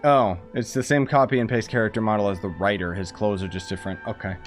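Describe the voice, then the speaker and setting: A man's voice: on the low side, fairly even intonation, fast. A man giving casual, sarcastic live commentary as a video game streamer, in an informal way. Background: faint game music.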